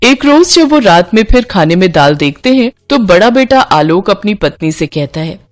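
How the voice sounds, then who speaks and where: A woman's voice: mid-range voice, very animated, normal speed. A woman storyteller reading a scripted moral tale as narrator.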